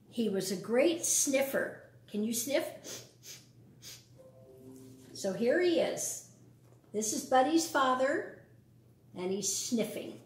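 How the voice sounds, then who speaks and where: A woman's voice: a little high, darkish tone, roomy sound, a little hiss or hum. A woman reading a picture book aloud to young children, adding casual explanations and questions. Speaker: storytime reader.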